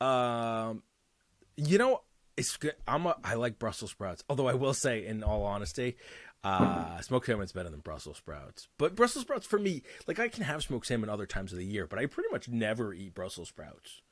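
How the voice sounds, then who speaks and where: Lively, joking male podcast host. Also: lowish voice, normal speed, no echo. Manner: joking, rambling, laughs often. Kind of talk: casual banter podcast.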